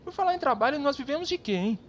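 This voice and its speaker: A woman's voice: sits high, animated, fast, lo-fi sound, heavy background noise. A woman with a Brazilian accent voicing a carefree, teasing boy best friend in a children's comedy cartoon, breezy and colloquial.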